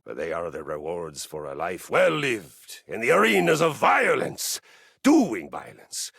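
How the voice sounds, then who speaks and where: A bombastic warrior for a video game, pompous and in love with violence. The voice is gravelly and rough, swinging hugely in pitch. The phrases come slowly and grandly, in formal, boastful style. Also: male voice, a bit bright, no room sound, studio quality, full character voice. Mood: proud, menacing. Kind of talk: theatrical video game character acting.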